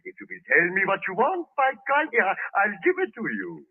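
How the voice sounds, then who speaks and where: A folksy German butcher in an old radio play. The voice is high and husky, with a thick immigrant accent and talkative, broken English, heard through a tinny vintage recording. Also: male voice, wildly swooping pitch, moderate pace, full character voice. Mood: chatty. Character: chatty, good-natured German-immigrant butcher, married man. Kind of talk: 1930s radio acting.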